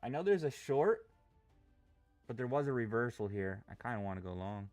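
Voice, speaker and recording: A man's voice: mid-range voice, very animated, normal speed, a little mellow, no room sound, soft background music. A man streaming live trades, talking casually, restless and impatient, swearing freely as he talks. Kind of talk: casual live trading commentary.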